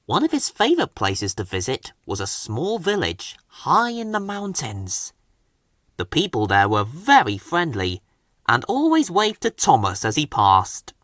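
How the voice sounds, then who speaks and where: A man reading a children's storybook aloud as a scripted narrator, with an expressive, animated delivery. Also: on the high side, measured pace, no room sound, clean studio sound. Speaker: story reader.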